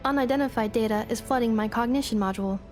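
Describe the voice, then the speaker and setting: A woman's voice: on the high side, quick pace, darkish tone, faint music behind, lightly acted. Woman acting as composed analytical female robot. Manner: precise, formal, clinical phrasing. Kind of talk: video game voice acting.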